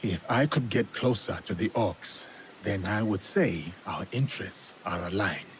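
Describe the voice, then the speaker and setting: An actor playing a stern, principled, guarded samurai in a video game, grave and terse. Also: male voice, lowish voice, expressive, unhurried, slight background noise. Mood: businesslike. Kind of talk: video game cutscene acting. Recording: music faintly behind the voice.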